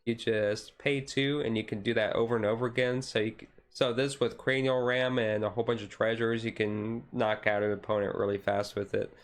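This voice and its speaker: A man giving casual commentary on a card deck as a streamer, thinking aloud in a halting way. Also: on the low side, level tone.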